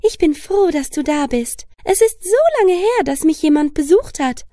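A woman's voice: high-pitched, animated, moderate pace, dark tone, studio quality, voice pushed up. A woman voicing a sweet, coaxing older garden lady in a children's storybook, gushing and sing-song. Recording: long reverb, music underneath.

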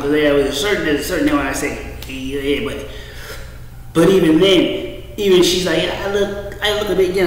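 A man in his thirties rambling casually to a webcam at home, loose and profane, repeating himself and trailing off. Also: a little high. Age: 30s. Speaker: vlogger. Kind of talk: rambling casual monologue to camera. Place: small room indoors at home. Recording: echoey room with faint noise.

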